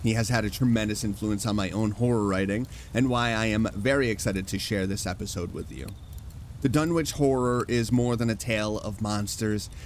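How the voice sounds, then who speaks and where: A man hosting, telling a measured, scripted spooky introduction. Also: on the low side, a little hiss or hum. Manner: slow, measured storytelling. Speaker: host.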